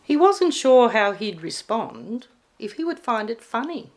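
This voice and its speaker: A woman reading a rhyming poem aloud in an expressive storybook narration style. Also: a little high, measured pace. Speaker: poem reader.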